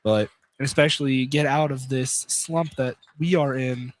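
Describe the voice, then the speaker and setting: A man's voice: medium pitch, steady tone, normal speed. A man giving unscripted pregame basketball commentary as part of a radio broadcast team.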